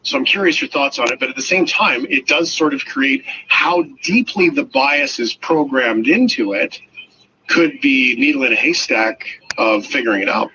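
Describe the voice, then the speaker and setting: A man's voice: medium pitch, normal speed, bright, forceful tone. A man hosting an interview, relaying audience questions in a conversational way. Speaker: interview host. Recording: muffled, noisy radio-like sound.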